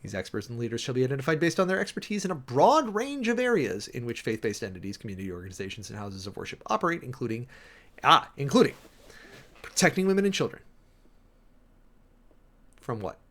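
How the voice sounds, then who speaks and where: Theatrical man with sweeping, animated pitch. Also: fast talker, pauses often. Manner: theatrical, emphatic, digressive, with sardonic asides. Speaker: host, preacher. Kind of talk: dramatic reading of a government document with commentary.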